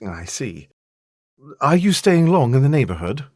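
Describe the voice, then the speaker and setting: A man plays a complacent, well-bred husband in a literary radio drama. The voice is husky and very lively in pitch. He speaks politely and condescendingly, quick to take affront. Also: no echo. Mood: politely curious. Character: genteel, complacent gentleman husband, easily affronted.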